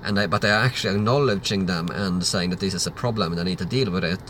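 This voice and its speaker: Lowish, bright-toned man. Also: fast talker, slight background noise. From Sweden. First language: Swedish. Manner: loose, rambling and offhand. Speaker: livestream host. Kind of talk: livestream Q&A reading chat questions.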